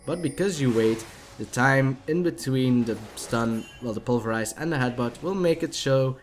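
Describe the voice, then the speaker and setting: A tipsy man with a Dutch accent casually commentating a video game match, chatty and rambling, with sudden excited outbursts. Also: mid-range voice, moderate pace, soft background music.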